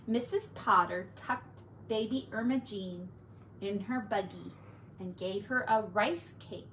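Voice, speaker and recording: A woman teacher reading a picture book aloud to children, slow and expressive. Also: a little high, slight background noise, rough recording.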